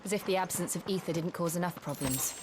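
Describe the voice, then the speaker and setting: An actress playing a sombre, composed young noblewoman in a fantasy video game, soft-spoken and measured. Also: on the low side, lightly acted. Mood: concerned. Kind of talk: dramatic video game dialogue. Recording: background noise with outdoor ambience.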